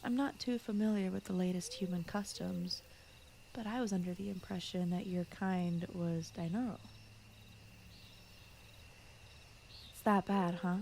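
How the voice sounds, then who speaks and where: A woman voicing a gentle, shy fox spirit who guards a forest, speaking softly and often in whispers in a soothing bedtime ASMR roleplay style. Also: lowish voice, steady tone, moderate pace, slight background noise. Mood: concerned, soothing. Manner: soft-spoken, often slipping into whispers, rambling, apologetic. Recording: clear night cricket and forest ambience. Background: crickets, insects, night forest ambience.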